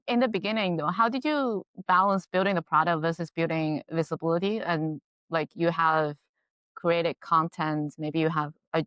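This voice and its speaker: Chinese-born woman host, native chinese, deep voice, curious questions, dry sound. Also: no room sound. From China. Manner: curious, warm laughs, occasional grammar slips. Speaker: podcast host, interviewer. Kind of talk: interview questions, casual chat.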